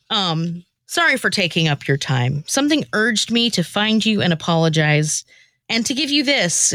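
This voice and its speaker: Lady playing a jittery sorry woman in a radio comedy, bright voice, dry close sound, hesitant and slangy. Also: mid-range voice, no echo. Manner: hesitant, slangy, self-deprecating. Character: nervous, repentant woman setting out to apologize. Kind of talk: amateur comic radio play acting.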